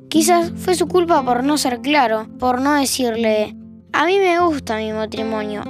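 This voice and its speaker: A woman with a Rioplatense Spanish accent giving reflective scripted narration for an audio drama. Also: high-pitched. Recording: voice over guitar music.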